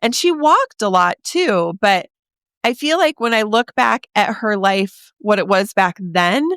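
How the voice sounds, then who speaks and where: A woman in her forties hosting a podcast, talking straight to the listener in a chatty, encouraging way, her voice a little raspy from a sore throat. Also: mid-range voice, animated, measured pace, a bit bright, dry recording, studio quality. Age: 40s. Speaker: podcast host. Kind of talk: casual podcast monologue.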